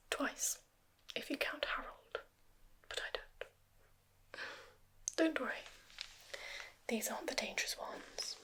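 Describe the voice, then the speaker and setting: A woman voicing a gently sinister female forest witch in an ASMR roleplay, whispering softly with teasing dark humor. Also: on the high side. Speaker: ASMR creator.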